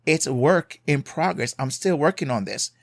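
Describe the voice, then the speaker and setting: A man's voice: fairly high pitch, expressive, moderate pace, gravelly, no echo, clean studio sound. A man with a Jamaican accent hosting a solo podcast, giving earnest marriage advice, stressing key points by repeating them and checking in with "right?".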